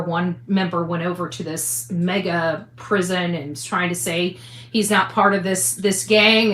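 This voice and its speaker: Female online commentator, mid pitch, bright and tense tone, chatty but halting, echoing room. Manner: halting, conversational. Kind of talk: casual news commentary to an audience.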